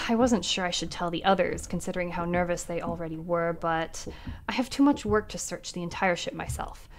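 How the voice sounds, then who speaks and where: An actress playing a weary female ship captain recording her log in an audio drama, measured and uneasy. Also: fairly low pitch, a little hiss or hum, lightly acted. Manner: measured, tired, increasingly uneasy.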